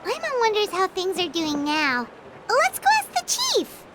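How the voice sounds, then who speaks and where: A woman playing a small, childlike fairy companion in a video game, squeaky and exclamatory, with game noise behind her. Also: huge pitch swings, measured pace, exaggerated voice. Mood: curious, amazed. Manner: squeaky, exclamatory, speaks of herself in third person. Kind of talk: video game character acting.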